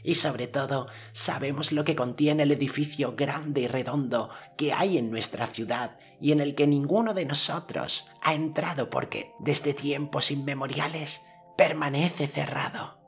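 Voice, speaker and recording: A man's voice: fairly high pitch, unhurried, fairly bright tone, soft background music, voice pushed up. A man voicing a dignified, solemn old male elder in a stately, declaiming manner for an audiobook. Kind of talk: audiobook character voice.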